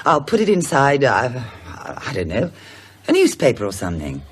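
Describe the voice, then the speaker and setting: A no-nonsense woman in a radio play. Her voice is husky and very lively in pitch, with a brisk, chiding delivery. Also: full character voice. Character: brisk, no-nonsense woman calming an impatient companion. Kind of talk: radio drama acting.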